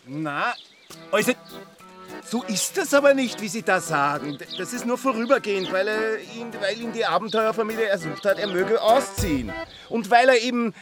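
An Austrian actor playing a prim, self-important village chronicler in a radio drama. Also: male voice, high-pitched, animated, moderate pace, over music. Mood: flustered, defensive.

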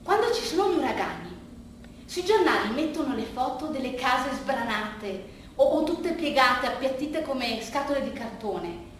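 A woman performing a colloquial, bittersweet theatrical monologue, telling it in a halting, conversational way with hushed asides. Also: high voice, very animated. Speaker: monologue actress and narrator. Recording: very roomy, distant stage recording, faint noise.

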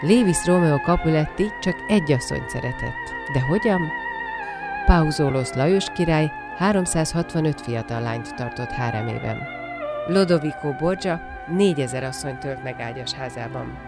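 An actress playing a grandiose chronicler of legendary lovers, declaiming bombastically in a theatrical drama. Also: female voice, low voice, wildly swooping pitch, full character voice. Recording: loud music under the voice.

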